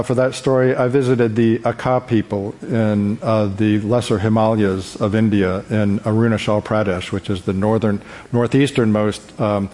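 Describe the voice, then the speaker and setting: A man speaking unscripted as a journalist on a panel, in a halting, hesitant way. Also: mid-range voice, darkish tone. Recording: echoey hall reverb.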